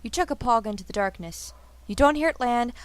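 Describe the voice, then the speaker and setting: A woman's voice: on the high side, lively intonation, brisk, no room sound, close to an everyday voice. A woman voicing a sardonic young girl adventurer, narrating and talking in a game fan dub with wry, casual asides. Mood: uneasy, dry. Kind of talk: fandub game narration and dialogue.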